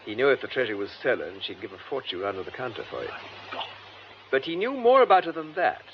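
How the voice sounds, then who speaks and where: An actor playing a composed, methodical English police superintendent in a radio drama, with measured, formal, precise delivery. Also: male voice, mid-range voice, very animated, lightly acted. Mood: probing, composed. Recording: muffled lo-fi radio drama, faint noise.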